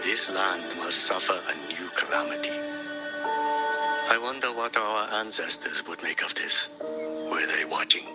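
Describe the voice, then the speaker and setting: An actor playing a wise, solemn old male village chief in a video game, speaking in formal, measured, old-fashioned phrases. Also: low-pitched, very animated. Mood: sorrowful. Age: older. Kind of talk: dramatic video game voice acting. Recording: game audio with loud music behind.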